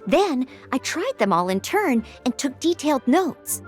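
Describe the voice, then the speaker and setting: A woman's voice: high-pitched, very animated, a little slow, faint music behind. A woman voicing a young, dutiful maid knight in a video game, speaking in an earnest, courteous and precise way. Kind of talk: video game character acting.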